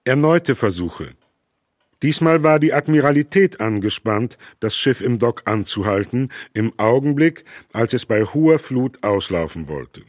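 A man's voice: medium pitch, lively intonation, a little slow, no room sound, clean studio sound, natural voice. A man reading as a Victorian cable engineer. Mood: matter-of-fact. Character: 19th-century cable engineer writing reports. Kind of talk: reading historical letters in a radio feature.

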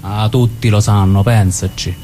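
A man's voice: on the low side, steady tone, unhurried, dark tone, slight background noise, lightly acted. A man playing a blunt, protective but insecure brother with a southern Italian accent, in a colloquial radio drama reading. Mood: teasing, affectionate.

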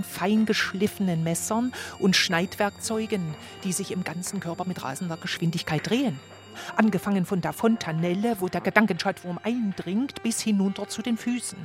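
An actress playing an earnest, delusional housewife and psychiatric patient, speaking solemnly and precisely in a documentary radio play. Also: female voice, on the low side, natural voice.

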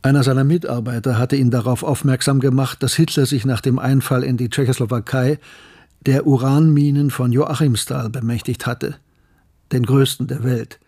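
An actor playing a sober male narrator who recounts wartime history in a measured, factual way, with a husky voice. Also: mid-range voice, fairly even intonation, warm tone, natural voice. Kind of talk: scripted narration in a radio play.

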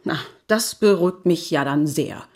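A woman's voice: fairly low pitch, moderate pace, a little mellow, natural voice. An actress playing a sardonic married city woman in an audio drama, speaking in a dry, ironic, conversational way. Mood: wry. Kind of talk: German audio drama acting.

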